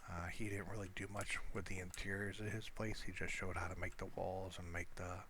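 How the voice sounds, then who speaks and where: Male gamer, low and forceful tone, casual halting commentary, thinking aloud, some background noise. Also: level tone. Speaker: gamer narrating own gameplay. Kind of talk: casual, unscripted gameplay commentary.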